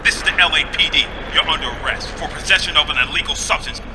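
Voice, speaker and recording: A male actor plays a brusque police officer in an audio drama. He barks official lines very quickly in a slightly high voice, with reverberant street noise around him. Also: natural voice. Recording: echoey, noisy street from afar.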